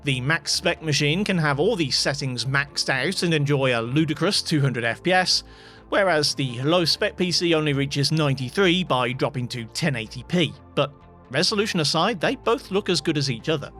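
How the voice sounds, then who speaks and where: A man's voice: a little high, animated, normal speed, a bit bright, faint music behind. A man giving scripted voice-over narration as a tech video host, with dry, wry asides.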